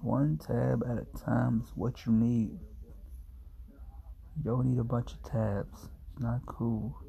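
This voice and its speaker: A man's voice: mid-range voice, steady tone, takes it slowly, vocal fry, warm tone, slightly rough, low-quality recording. A man in his twenties talking to camera in a laid-back, meandering, self-mocking way, sounding sleepy. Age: 20s. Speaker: vlogger. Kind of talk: rambling casual monologue to camera.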